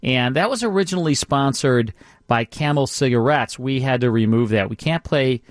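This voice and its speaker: A man's voice: mid-range voice, animated, moderate pace, no room sound. American male radio host, dry studio sound. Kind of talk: casual radio chat and promotion.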